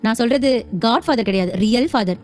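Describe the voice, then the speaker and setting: Villainess elder sister, acted by a woman: high, rapid, sneering, scheming, audio serial melodrama. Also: darkish tone, close to an everyday voice. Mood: gloating. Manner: gloating, sneering, insistent. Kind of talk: audio serial drama acting.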